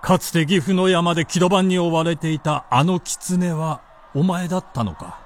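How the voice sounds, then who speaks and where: An actor in a Japanese radio drama playing an imperious, fearless samurai warlord with a commanding, theatrical delivery. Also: male voice, fairly high pitch, moderate pace, close to an everyday voice. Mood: resolute, commanding.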